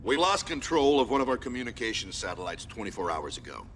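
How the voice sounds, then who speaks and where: Stern army commander in a video game, voice jumps around, noisy behind. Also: male voice, mid-range voice, sing-song, quick pace, a bit bright. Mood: grave briefing. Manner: clipped orders and briefing phrasing. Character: stern male military commander, forceful and driven. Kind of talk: video game character acting.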